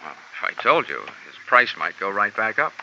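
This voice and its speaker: A man's voice: fairly low pitch, big pitch swings, normal speed, pushed and bright, a little hiss or hum, full character voice. An actor playing a wisecracking male insurance investigator who also narrates, dry and matter-of-fact. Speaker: narrator and lead. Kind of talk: 1950s radio drama acting and narration. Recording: lo-fi old radio broadcast with music.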